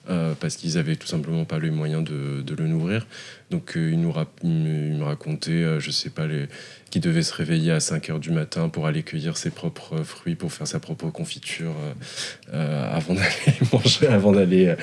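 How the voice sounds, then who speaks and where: Very deep-voiced hesitant man. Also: a little mellow. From Morocco. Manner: hesitant, many fillers, laughs often. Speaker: podcast guest. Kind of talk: casual interview.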